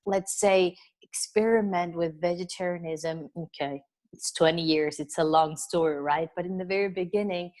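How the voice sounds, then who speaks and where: A middle-aged woman from Venezuela talks at length as an interview guest, in a voice pitched a little low. She rambles in an easygoing way, scattering "you know" through her answers and laughing softly now and then. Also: steady tone, moderate pace. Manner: chatty and expansive, with frequent "you know" and soft laughs. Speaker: interview guest, wellness business coach. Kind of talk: casual interview conversation.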